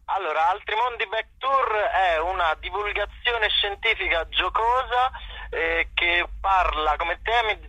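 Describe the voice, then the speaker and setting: A man's voice: high voice, animated, unhurried, creaky, pushed and bright, no room sound. A man speaking casually as a theatre director and performer interviewed on radio, sometimes repeating words as he searches for them. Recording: dull, dry radio or phone-line sound.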